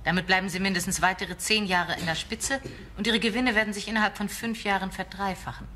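Woman as bright-voiced professional in a thriller. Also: medium pitch, steady tone, fast, a little hiss or hum, close to an everyday voice. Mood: assured. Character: poised professional wife, sharp and worried. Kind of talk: German audio drama acting.

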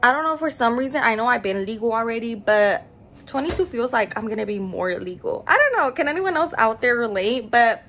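A woman's voice: on the high side, animated, brisk, a bit bright. Bubbly young woman vlogging to camera. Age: early 20s. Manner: bubbly, chatty, addresses viewers as y'all. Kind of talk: casual chat to camera. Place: home bedroom, then car and stores. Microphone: phone camera at arm's length.

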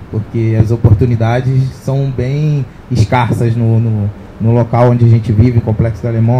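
A man's voice: medium pitch, lo-fi sound, a little hiss or hum. A man, speaking earnestly at a panel on an indoor stage with a handheld mic. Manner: earnest, rambling with many fillers. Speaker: social project leader. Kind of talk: panel discussion. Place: indoor event stage with sponsor backdrop.

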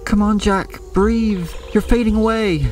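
A man acting a wary, compassionate and determined survivor of a deadly hunt in an audio drama, earnest and halting. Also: sits high, a little mellow, faint music behind. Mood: alarmed, pleading.

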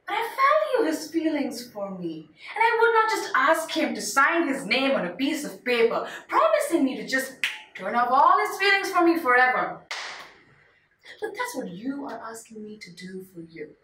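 A woman's voice: fairly high pitch, a bit bright. A woman playing a desperate, quirky wife refusing a divorce in a stage monologue, dramatic and emphatic, swinging between joking and pleading. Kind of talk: solo stage monologue performance. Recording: roomy, distant mic, faint noise.

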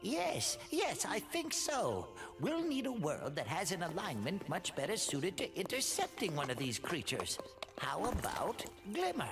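Fussy old mole prof, squeaky and all over the place, music under it. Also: male voice, wildly swooping pitch, unhurried, creaky, heavily acted. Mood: flustered. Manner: flustered, dithering. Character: fussy elderly male mole professor. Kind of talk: video game cutscene acting.

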